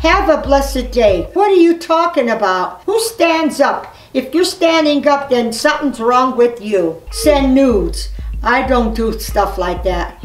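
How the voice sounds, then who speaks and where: An elderly woman reading messages aloud and commenting casually in a blunt, scolding, animated manner. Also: on the high side. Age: 70s or older. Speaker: grandmother reading messages for a family video channel. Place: living room, indoors in an armchair. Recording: echoey room, distant mic, music.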